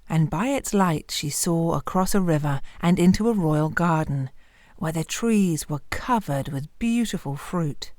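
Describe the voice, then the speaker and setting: Measured woman storyteller, dry close sound. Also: lowish voice, dark tone, no room sound. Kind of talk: expressive fairy-tale narration.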